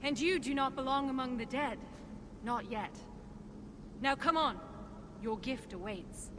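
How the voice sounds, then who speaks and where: A woman's voice: sits high, measured pace, background noise. An actress playing an earnest, encouraging dwarf woman in a video game, speaking in a direct way. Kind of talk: dramatic video game acting.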